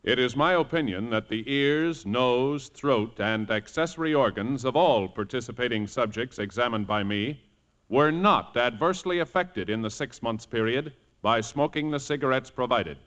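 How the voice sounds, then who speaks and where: A man reading a scripted 1950s radio advertisement in a formal, clinical, measured manner. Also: mid-range voice, lively intonation, fairly bright tone. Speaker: commercial voice quoting a specialist's report.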